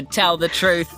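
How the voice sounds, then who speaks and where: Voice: medium pitch, bright, forceful tone. A player with a New Zealand accent chatting casually during a tabletop roleplaying game. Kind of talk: casual chat.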